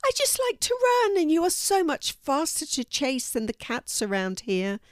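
A woman's voice: sits high, wildly swooping pitch, moderate pace, no room sound, clean studio sound, pitched above the performer's own voice. A woman voicing a big, playful, friendly male ginger cat in a children's story, bouncy and eager. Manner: bouncy, eager, good-natured.

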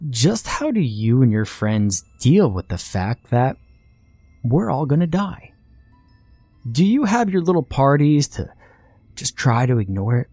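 A man's voice: deep voice, a little mellow, no room sound. A man voicing a sullen, morbid boy who talks with mocking sarcasm.

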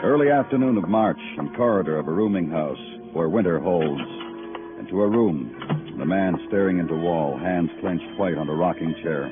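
An actor playing a brooding police detective, narrating a 1950s radio noir drama in solemn, clipped poetic phrases. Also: male voice, low-pitched, moderate pace, lightly acted. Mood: somber, brooding. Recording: muffled vintage radio recording with music.

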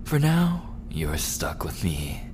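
A smug mafia prince, acted out by a man, speaks in a very low voice at a slow, deliberate pace. He is menacing yet coolly charming, sliding into breathy, possessive murmurs as in an immersive roleplay drama. Clear background noise sits behind him. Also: huge pitch swings, full character voice. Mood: teasing, possessive. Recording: clearly noisy background ambience.

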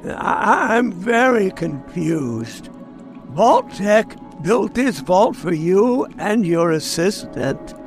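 A mild, bewildered ghoul in a radio play. The voice is gravelly and high for a man, very slow, with faint music behind. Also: sing-song, exaggerated voice. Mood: puzzled. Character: bewildered yellow-coated male ghoul, mild and plain-spoken. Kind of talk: radio drama acting.

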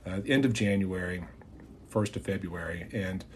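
A middle-aged man tells a personal story as a podcast host, reflective and halting. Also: low-pitched, a little hiss or hum. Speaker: podcast host and former pastor.

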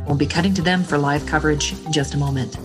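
A woman's voice: sits low, fairly even intonation, quick pace, music in the background, close to an everyday voice. A woman playing a cheery small-town morning radio host, reading scripted announcements.

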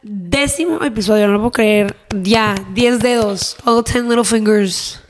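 A woman in her twenties co-hosts a podcast in a casual, slangy way. She has a Mexican accent and a husky voice at a middle pitch. She slips between two languages as she chats. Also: a little slow, a little hiss or hum. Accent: Mexican Spanish. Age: 20s. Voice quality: husky, hoarse by nature. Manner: slangy, informal. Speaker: podcast co-host. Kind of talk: casual chat, mixing Spanish and English.

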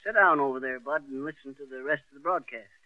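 A man's voice: mid-range voice, fast talker, no echo, lightly acted. An actor playing a jovial, blustery small-town husband in a 1930s radio comedy, chuckling as he talks. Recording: tinny old radio broadcast with hiss.

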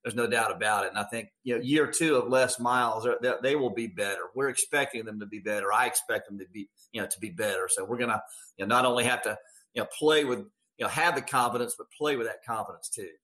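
An American man with a bright, forward voice speaks as a sports announcer in a conversational interview. His pitch sits in the middle range, and he talks in folksy, emphatic phrases. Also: fast, rough recording. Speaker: radio play-by-play sports announcer.

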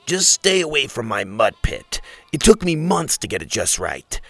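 A man's voice: medium pitch, big pitch swings, moderate pace, vocal fry, a bit bright, no room sound, full character voice. Man doing a grumbly fussy pig. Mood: grudging. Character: fussy male pig, proud of his mud.

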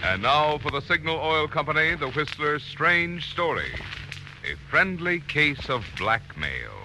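A man announcing a 1940s radio program from a script in a formal, dramatic, measured style. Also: a little high, big pitch swings, over loud music. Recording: noisy old radio recording.

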